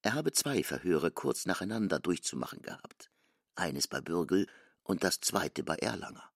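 Deep, very gravelly male narrator. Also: lively intonation, moderate pace, no echo, clean studio sound. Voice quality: gravelly, husky. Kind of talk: scripted literary narration in radio drama.

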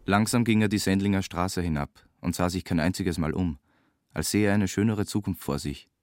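A man's voice: deep voice, brisk, darkish tone, no room sound. A man narrating a literary audio drama.

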